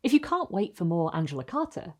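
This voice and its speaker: A woman with a slightly low voice reads like a dramatic storyteller. Her pitch moves freely and widely, and her phrasing is deliberate and expressive, suited to scripted literary narration. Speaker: host and reader of a literary video series.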